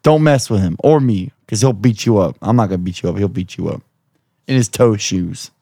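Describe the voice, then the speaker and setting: A young man hosting a podcast, hoarse from screaming, talking in a rambling, profane, jokey way. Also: lowish voice, fairly quick, dark tone, dry recording, studio quality. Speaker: podcast host. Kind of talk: casual chat.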